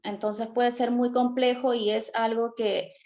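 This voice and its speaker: A woman speaking as a panel guest in a casual discussion, reflective and measured. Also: on the high side, flat delivery, a little mellow.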